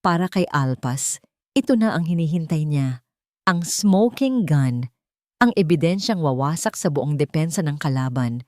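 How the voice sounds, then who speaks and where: A woman's voice: low voice, animated, measured pace, a little mellow, dry recording, studio quality. A woman reading a scripted dramatic story as its narrator.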